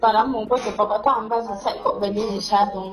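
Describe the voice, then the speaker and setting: A woman's voice: mid-range voice, steady tone, quick pace, faint music behind. A woman talking informally in a recorded phone conversation. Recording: echoey recorded phone call.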